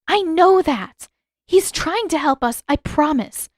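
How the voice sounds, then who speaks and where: A woman narrator plays a teenage girl in a fantasy story, protective and quick to argue. She speaks up high in an insistent, blurting way, very close and dry. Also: expressive, no echo. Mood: urgent pleading. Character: urgent, defensive teenage girl, protective of her friend.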